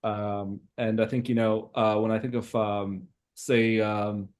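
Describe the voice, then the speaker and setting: A man from Zimbabwe in his thirties, a podcast guest who talks in a hesitant, reflective way with many pauses. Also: lowish voice, level tone, a little mellow. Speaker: interview guest. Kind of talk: casual interview talk.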